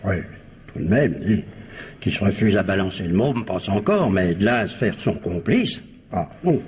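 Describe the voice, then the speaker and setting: An actor playing a sceptical, sardonic police inspector who talks colloquially in a French radio crime drama. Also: male voice, sits low, very animated, darkish tone, slight background noise. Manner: colloquial, mocking, drawn-out phrasing.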